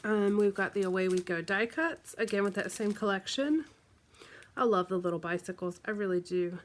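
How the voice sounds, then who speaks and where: A woman's voice: medium pitch, moderate pace, a little hiss or hum. Chatty, congested woman unboxing craft supplies. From United States. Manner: chatty, rambling, many ums and likes. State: congested from the flu. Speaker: craft vlogger. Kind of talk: casual show-and-tell unboxing.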